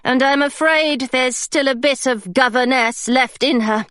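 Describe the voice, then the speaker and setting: A woman's voice: high voice, a little slow, fairly bright tone, clean tone, dry recording, clean studio sound. A woman voicing an eager, polite young female pirate.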